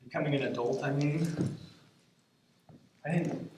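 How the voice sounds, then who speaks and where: Guy acting as nervous rambling grandson in his 20s, stage play, kinda high voice, super echoey room. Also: lightly acted. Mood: anxious, agitated. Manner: conversational, halting, nervous self-deprecating. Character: anxious, rambling 22-year-old grandson talking to his late grandmother. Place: stage in a theater, recorded from the audience. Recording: echoey theater, distant mic, faint noise.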